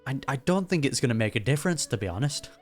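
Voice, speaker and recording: A man's voice: mid-range voice, very animated, fast. A young man giving casual, slangy commentary as he reacts to a video game, easily amused. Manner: amused, frequent chuckling, slangy. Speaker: gaming reaction YouTuber. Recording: game music behind voice.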